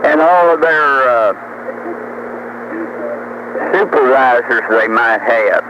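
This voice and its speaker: A man's voice: mid-range voice, lively intonation, slow, highs cut off. An older man rambling, boasting and trading insults in casual argument over CB radio. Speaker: CB radio talker. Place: over CB radio, heavy noise behind. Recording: noisy, band-limited CB radio tape.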